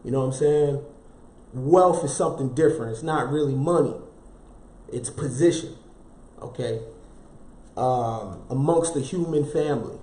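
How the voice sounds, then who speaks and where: A man's voice: mid-range voice, unhurried, long pauses, mellow, echoey room. A man giving a motivational self-help talk, preaching in rhetorical questions, repeating key phrases and dropping casual slang asides to his viewers. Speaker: motivational speaker.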